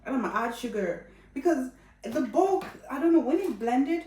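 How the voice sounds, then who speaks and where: A woman's voice: high voice, lively intonation, normal speed, warm tone, echoey room, recorded from a distance, slight background noise. A young woman with a Nigerian accent chatting playfully to viewers while cooking in her home kitchen, laughing often. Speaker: cooking vlogger. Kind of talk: casual cooking tutorial chat.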